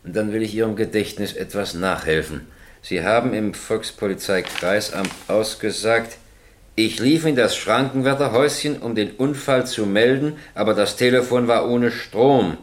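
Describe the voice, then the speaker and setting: A man's voice: on the low side, moderate pace, slight background noise, lightly acted. An actor playing a stern presiding judge in a German radio crime drama, formal and probing in his questioning.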